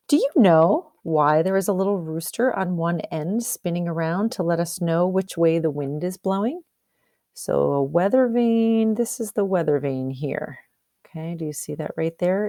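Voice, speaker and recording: A woman teacher reads a storybook aloud in a mellow, slightly low voice with big swings of intonation. She explains as she goes and puts questions to her listeners. The recording is close and dry. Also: no room sound. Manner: explanatory, questioning, checks understanding. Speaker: teacher reading aloud to a class.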